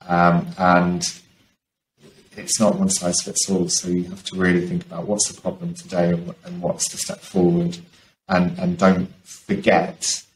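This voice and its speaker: A man's voice: low voice, gravelly, a little mellow. A man speaking as a panelist on a video call, explaining his points in a discussion. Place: indoor room, video call. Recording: roomy video call, faint background noise.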